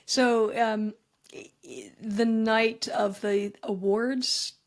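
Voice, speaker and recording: A woman's voice: a little high, steady tone, extremely slow. A woman, a comedy writer answering interview questions in a chatty, self-deprecating way. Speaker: guest, comedy writer and speaker.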